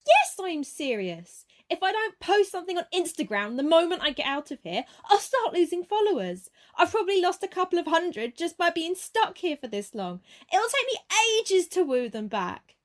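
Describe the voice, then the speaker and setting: A woman's voice: very high-pitched, big pitch swings, normal speed, hardly any pauses. A woman playing a self-absorbed, evasive young social media addict with a defensive, petulant manner in an amateur radio play.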